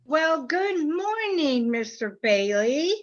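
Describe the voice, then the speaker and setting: Woman acting as patient bank teller, high voice, muffled video-call sound, polite, radio play. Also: big pitch swings, takes it slowly, heavily acted. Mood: politely helpful. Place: home, over video call.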